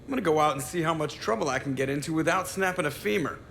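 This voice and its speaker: A flippant young starship pilot in a game. His voice is fairly high, his delivery casual and sarcastic. There is faint noise behind him. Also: fast talker, vocal fry, fairly bright tone. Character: wisecracking, irreverent young male starship pilot.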